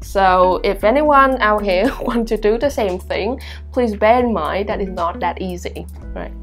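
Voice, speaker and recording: A woman's voice: medium pitch, measured pace, faint music behind. A teacher speaking English in a casual interview, chatty and self-deprecating, laughing often as she talks. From Vietnam. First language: Vietnamese. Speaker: teacher and guest.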